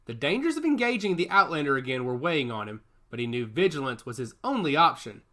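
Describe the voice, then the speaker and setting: A man's voice: medium pitch, big pitch swings, quick pace, bright, forceful tone. A man reading scripted fiction as a narrator in a dramatic storytelling style. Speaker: audiobook narrator.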